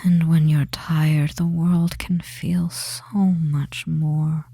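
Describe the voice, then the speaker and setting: A performer voicing a devious, soothing femboy witch in a hypnosis ASMR roleplay, in a soft breathy whisper with a slow, lulling manner and long pauses. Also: mid-range voice, flat delivery, no room sound, heavily acted. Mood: soothing, hypnotic. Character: devious soothing witch of the deep wood, feminine young man.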